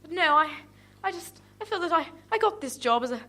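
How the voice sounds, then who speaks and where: A woman's voice: very high voice, lively intonation, brisk, pushed and bright, full character voice. A woman playing a flustered, giddy young car parker in an improvised comic radio play, stammering and fluttery with theatrical politeness.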